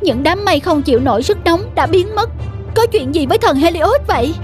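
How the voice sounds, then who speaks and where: A woman's voice: extremely high pitch, animated, fairly quick, soft background music. A woman voicing a headstrong teenage demigod son in a cartoon, earnest, insistent and theatrical. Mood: dismayed. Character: headstrong eighteen-year-old demigod son, boastful and eager. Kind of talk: dubbed mythological cartoon acting.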